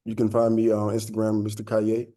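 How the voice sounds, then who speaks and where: A man from the fitness industry speaking on a virtual panel over a video call, relaxed and plain-spoken. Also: fairly low pitch, a little fast. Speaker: fitness professional.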